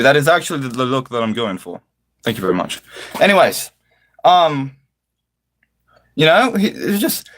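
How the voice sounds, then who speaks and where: A man's voice: on the high side, a bit bright. A man chatting casually to livestream viewers in an affected British-style accent, with mock-formal, flowery wording and a theatrical manner.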